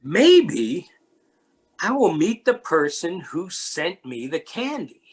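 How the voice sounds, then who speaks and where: An amateur reader playing a lonely, polite man who speaks formally and deliberately in a readers theatre story. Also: medium pitch, sing-song, slightly rough, full character voice. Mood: courteous, hopeful. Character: Mister Hatch, lonely polite man opening up in wonder. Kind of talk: readers theatre character reading.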